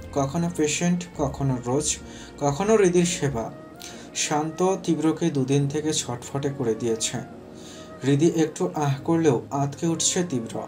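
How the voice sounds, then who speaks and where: A man narrating a scripted romantic story as its storyteller. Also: fairly high pitch.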